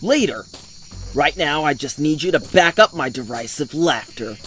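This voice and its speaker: A man voicing a vain, boastful young Russian officer in a comedic parody dub, excitable, indignant and stammering. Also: a little high, moderate pace. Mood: indignant bluster.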